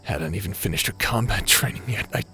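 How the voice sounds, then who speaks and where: A man playing a guilt-ridden, grieving ex space pirate in an audio drama, speaking haltingly with heavy pauses. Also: sits low, creaky, sharp tone, faint music behind, exaggerated voice. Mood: grieving, wistful. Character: grief-stricken, guilt-ridden male ex space pirate brother. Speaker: voice actor in an audio roleplay.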